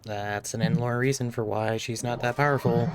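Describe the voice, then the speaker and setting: A man's voice: medium pitch, steady tone, normal speed, a little hiss or hum. A man giving casual, wry live commentary as he streams a game.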